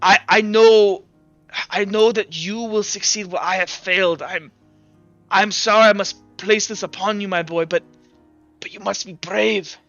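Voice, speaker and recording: A man acts out a kindly doctor in a tabletop roleplay, grave and urgent. The voice is high and forceful, halting and repeating itself in earnest. The sound is dry and slightly dull, with music behind. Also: fairly even intonation, no room sound. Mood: pleading, tender.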